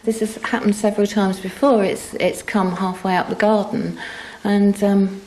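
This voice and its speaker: A woman's voice: mid-range voice, flat delivery, moderate pace, dark tone, slight background noise, rough recording. A woman, an aggrieved homeowner giving an informal news interview. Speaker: flood-hit homeowner.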